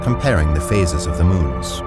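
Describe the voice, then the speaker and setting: Deep-voiced guy narrating a sci-fi audio drama, steady and measured, music under it. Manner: measured, descriptive. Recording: clear music bed underneath.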